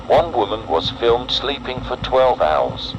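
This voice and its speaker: A man narrating a scripted horror emergency broadcast in a solemn, deliberate, ominous way. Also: medium pitch, big pitch swings, dull audio, noisy background.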